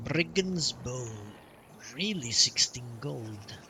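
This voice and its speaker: A man's voice: medium pitch, animated, slow, sharp tone, a little hiss or hum. A man doing casual live commentary on a game he plays, thinking aloud and muttering short questions to himself. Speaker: game streamer. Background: game music.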